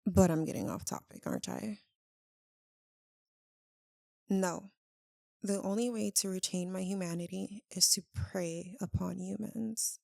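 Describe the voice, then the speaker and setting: A woman playing an anguished, lovesick vampire in an intimate ASMR roleplay, speaking softly and haltingly with emotion. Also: mid-range voice, steady tone, gravelly, dry recording, studio quality, close to an everyday voice. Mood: grave, hushed. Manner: halting, hushed, emotional delivery with long pauses.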